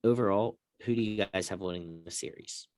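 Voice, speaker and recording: A man's voice: low-pitched, brisk, darkish tone. A man moderating a casual sports talk show, introducing topics and chatting with his co-hosts.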